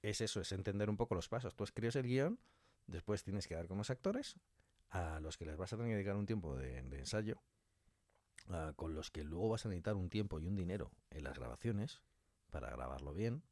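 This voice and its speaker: A man speaking as a guest in a casual interview, a writer and director explaining his craft in colloquial, animated talk. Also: low-pitched, fairly quick, dry recording, clean studio sound.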